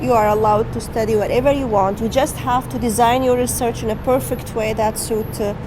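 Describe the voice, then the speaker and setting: A woman's voice: fairly high pitch, steady tone, brisk, background noise. A woman scientist explaining her facility's research plainly in a documentary interview. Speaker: synchrotron scientist. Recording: music bed under the voice.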